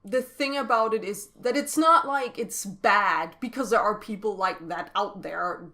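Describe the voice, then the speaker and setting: A woman's voice: mid-range voice, unhurried, pushed and bright. A Danish woman speaking English in a casual chat, explaining at length and laughing often. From Denmark. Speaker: podcast co-host.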